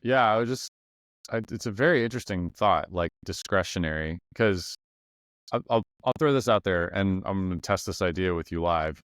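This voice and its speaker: A man's voice: low-pitched, moderate pace, fairly bright tone, clear, resonant tone, dry recording, studio quality. A man hosting a podcast interview, talking loosely and thinking aloud, often checking in with "right?". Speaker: podcast host. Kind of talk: casual interview chat.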